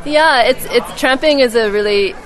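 A young Canadian woman, a film's lead and a graduate student, chatting casually in an interview with lots of fillers and quick restarts. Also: a little high. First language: Czech heritage, English first. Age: young adult. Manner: chatty, frequent "like" and restarts, laughs easily. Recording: crowd noise outdoors.

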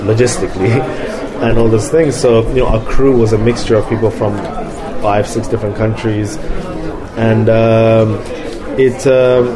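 A man who grew up in London, a film director answering interview questions in a halting way, with many fillers and restarts. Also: on the low side, fairly even intonation. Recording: crowd noise behind.

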